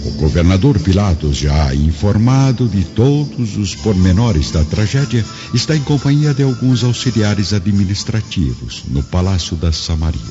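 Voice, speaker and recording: A man's voice: on the low side, lively intonation, moderate pace, few pauses. A man with a Brazilian accent narrating a dramatized audiobook in a solemn, literary style. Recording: dull lo-fi audio, music behind. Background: background music.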